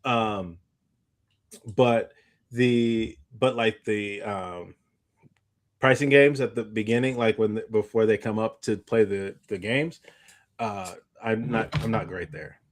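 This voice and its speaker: A man's voice: fairly low pitch, steady tone, slow pace. Rambling man chatting casually, sounding tired. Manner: rambling, often backtracking mid-sentence. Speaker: podcast host. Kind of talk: casual chat.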